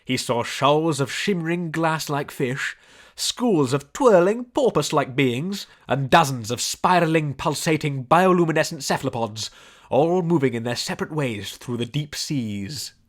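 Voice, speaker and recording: A man reading scripted story narration in a measured storybook delivery. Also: fairly high pitch, animated. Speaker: audiobook narrator.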